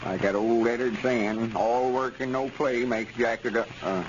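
An actor playing a pompous, folksy storekeeper with a rural Arkansas accent in a 1930s radio comedy. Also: male voice, mid-range voice, fairly even intonation, moderate pace, lightly acted. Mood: self-satisfied. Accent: Southern US, rural Arkansas. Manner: folksy, pompous, self-satisfied. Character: boastful, self-important rural storekeeper with lawyer ambitions. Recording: scratchy, muffled 1930s radio recording.

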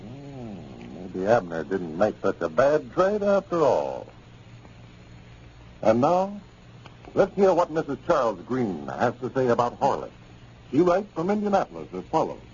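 A man's voice: medium pitch, huge pitch swings, unhurried, long pauses, darkish tone. A man working as an announcer, speaking in a 1930s radio style. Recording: noisy, muffled 1930s radio recording.

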